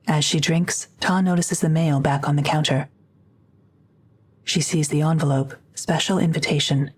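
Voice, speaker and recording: A woman's voice: deep voice, steady tone, normal speed, husky. A woman reading scripted narration for an audio drama, as its narrator.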